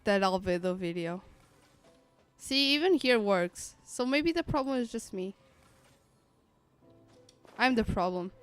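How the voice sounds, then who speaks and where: A woman's voice: medium pitch, expressive, unhurried, long pauses, clear, resonant tone, soft background music. A woman game streamer giving casual, playful live commentary with somewhat hesitant phrasing.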